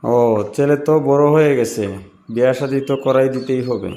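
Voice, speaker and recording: A man's voice: mid-range voice, steady tone, normal speed, dark tone, lightly acted. A man voicing a canny, grumbling village matchmaker uncle in a comic cartoon. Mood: agreeable, surprised.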